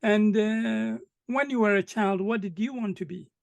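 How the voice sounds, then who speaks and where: A man hosting an interview, asking short questions calmly and acknowledging answers with frequent murmurs. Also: high voice, moderate pace, vocal fry, dry recording. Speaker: interview host.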